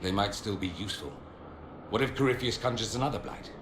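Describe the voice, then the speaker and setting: Actor as a quick-talking pragmatic adventurer. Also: male voice, on the low side, lightly acted. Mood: cautioning. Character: pragmatic male adviser in fantasy party. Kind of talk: fantasy video game voice acting. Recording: echoey, clear background noise.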